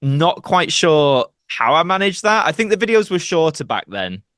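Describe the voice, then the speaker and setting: A man's voice: on the high side, very animated, moderate pace, a bit bright, no echo, studio quality. A young man chatting casually as an interview guest, rambling and self-deprecating. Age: young adult. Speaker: podcast guest, actor and video creator.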